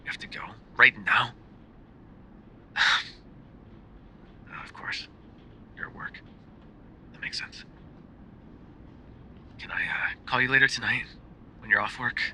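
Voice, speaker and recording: Man acting a bright, breathy lovesick mafia boyfriend. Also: medium pitch, steady tone, slow pace, long pauses, a little hiss or hum. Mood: gently disappointed. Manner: soft-spoken, hesitant, often dropping to a whisper. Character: lovesick, bookish mafia boyfriend, tender and sheepish. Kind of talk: ASMR roleplay voice acting, intimate phone voicemails.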